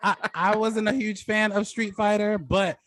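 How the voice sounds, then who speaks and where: A man's voice: high-pitched, steady tone, normal speed, a bit bright, no room sound. A man hosting a casual debate show, talking through his judging of the match. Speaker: host and judge of a debate show.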